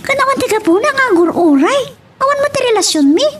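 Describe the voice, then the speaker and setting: A woman's voice: extremely high pitch, expressive, fast. A woman playing a headstrong young woman in love in an audio love drama, recorded at a distance. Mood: defensive. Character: headstrong young woman in love, defending her romance to her mother. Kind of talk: Ilocano radio-style love drama acting.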